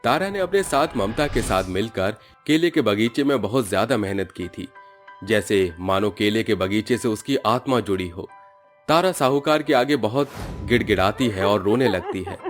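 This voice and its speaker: A man's voice: lowish voice, animated, normal speed, faint music behind. A man giving scripted narration of a moral story as its storyteller.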